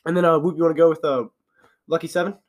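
A young man with a slight cold rasp talks sports in quick, loose bursts. His voice sits a touch high and moves along at a brisk clip, with plenty of casual fillers. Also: steady tone. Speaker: podcast co-host.

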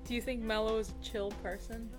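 Woman, podcast host, slightly high voice, rambling, hesitant, faint music behind. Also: flat delivery, a little fast. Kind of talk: casual chat.

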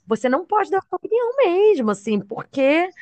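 A woman's voice: very high-pitched, sing-song, fairly quick, a little mellow, dry recording. A young adult Brazilian woman chatting casually in a sociable, conversational manner. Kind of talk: casual chat.

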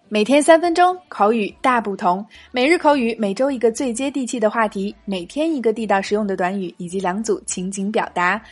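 A woman hosting a short language lesson in a clear, upbeat teaching voice, repeating the key phrases. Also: on the high side. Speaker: English teacher and show host. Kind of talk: scripted lesson narration. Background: faint background music.

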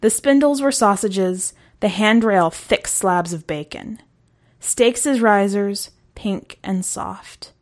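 A woman's voice: mid-range voice, slow pace. A woman reading a first-person short story aloud in a conversational, wry way. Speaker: story narrator.

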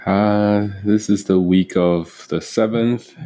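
A man's voice: fairly low pitch, fairly even intonation, slow pace, mellow, slight background noise. A young man co-hosting a casual podcast, talking with frequent ums and restarts. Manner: hesitant, many ums and restarts. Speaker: podcast co-host.

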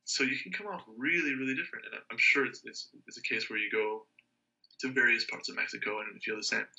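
A man's voice: medium pitch, fast talker, sharp tone, highs cut off. A man chatting casually as a guest, thinking aloud and rambling with restarts. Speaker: language learner guest. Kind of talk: casual conversation.

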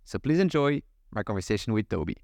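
A man's voice: lowish voice, very animated, fast talker, heavy background noise. A man hosting a podcast, reading an introduction and then asking loose, rambling questions. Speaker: podcast host.

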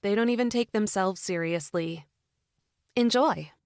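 A woman's voice: mid-range voice, unhurried, very noisy. A woman giving a podcast's opening disclaimer, in a mocking, tongue-in-cheek tone. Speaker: podcast intro announcer. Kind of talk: teasing scripted disclaimer.

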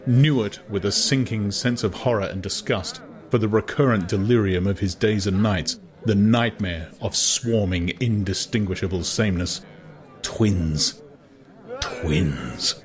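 A man reading a novel aloud as narrator, in dramatized, scripted literary storytelling. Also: lowish voice. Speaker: audiobook narrator.

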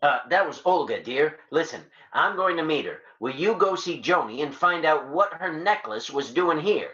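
Dull vintage radio sound, male actor as suave American male detective, flippant, very lively intonation, bantering. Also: a little high, normal speed, crowd noise, lightly acted. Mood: breezy. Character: suave, wisecracking married male private detective. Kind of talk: 1940s radio drama acting. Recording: dull old radio recording.

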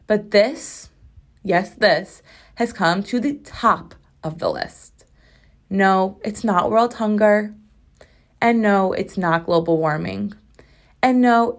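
A woman in her twenties hosts her solo podcast in a rambling, emphatic style, swearing freely. Her voice is strained and hoarse, and she is recording from her bathroom at home. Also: medium pitch. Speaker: podcast host. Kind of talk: casual solo podcast chat.

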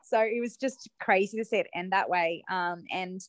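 A woman's voice: mid-range voice, moderate pace, heavy background noise. A young woman chatting as a podcast guest about a match, laughing often as she talks. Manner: giggly, chatty, relieved. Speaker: podcast guest, sports fan. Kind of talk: casual chat.

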